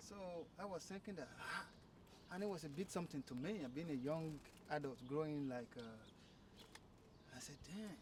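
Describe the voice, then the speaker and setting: Halting man in his thirties. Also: fairly high pitch. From Nigeria. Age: 30s. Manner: halting, repeats words while telling his story. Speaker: interview guest. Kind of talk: casual conversation. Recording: distant mic with clear background noise.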